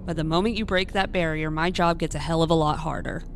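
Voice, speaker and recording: A woman voicing a tough paranormal hunter in an audio drama, talking in a brisk, commanding way. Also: low-pitched, steady tone, slight background noise. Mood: determined. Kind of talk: radio play acting. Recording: audio drama over a music bed.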